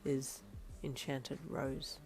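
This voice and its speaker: A woman doing a low, smug boyfriend. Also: steady tone, quick pace, darkish tone, faint music behind. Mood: mock romantic. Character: cocky, teasing young boyfriend.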